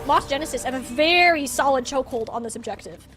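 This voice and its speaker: A woman doing animated live esports play-by-play commentary. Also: high voice, fast talker, music in the background. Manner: animated, exclamatory.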